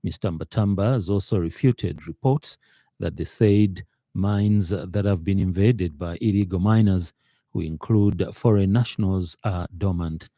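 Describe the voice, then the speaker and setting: Zambian guy reading the radio news, really mellow dark voice, formal, dry studio sound. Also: deep voice, measured pace, no echo. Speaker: news reader.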